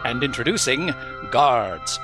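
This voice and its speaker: A man's voice: medium pitch, big pitch swings, takes it slowly. A man doing emphatic, theatrical announcing in the style of an old-time radio show. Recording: prominent music behind the voice.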